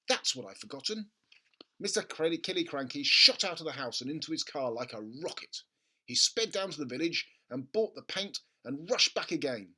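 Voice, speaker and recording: Bright, very animated storybook narrator. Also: medium pitch, moderate pace. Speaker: storybook reader. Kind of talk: expressive children's book narration.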